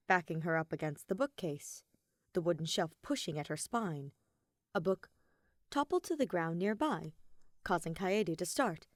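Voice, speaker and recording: Female fiction narrator, lowish pitch, scripted storytelling read, very dry close sound. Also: no echo.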